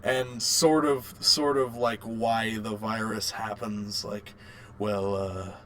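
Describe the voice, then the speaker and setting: A man co-hosting a casual film review chat, halting and repeating words as he argues his point. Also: fairly low pitch, steady tone, a bit bright. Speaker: podcast co-host.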